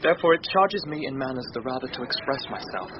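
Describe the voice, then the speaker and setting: An actor playing a courteous, grieving young gentleman in a classical Shakespearean radio play, with formal, earnest delivery. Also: mid-range voice, expressive, quick pace, background noise, close to an everyday voice. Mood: melancholy. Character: courteous, melancholy young gentleman grieving his drowned sister. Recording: muffled radio drama recording.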